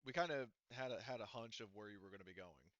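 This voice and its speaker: A man's voice: fairly low pitch, fast, fairly bright tone, dry recording, close to an everyday voice. A man voicing an evasive male scavenger gang leader who hedges and gives vague answers. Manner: vague, hedging. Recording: dull, lo-fi.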